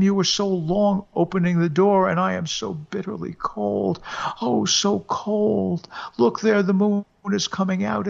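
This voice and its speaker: A man voicing the ghost of a dead young wife, wailing and pleading in a high, breathy voice. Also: full character voice. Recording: dull lo-fi recording.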